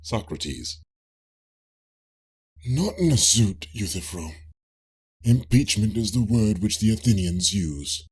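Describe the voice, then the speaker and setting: A man reading a classical philosophical dialogue as an audiobook in a measured, formal way. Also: lowish voice, lively intonation, a little mellow, slightly rough. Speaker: audiobook reader.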